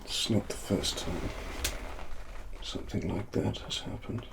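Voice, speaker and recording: A British man playing a grave, brooding cyberpunk investigator in a softly spoken ASMR monologue, breathy and deliberate, with long pauses. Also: fairly low pitch, background noise. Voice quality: soft, breathy, half-whispered.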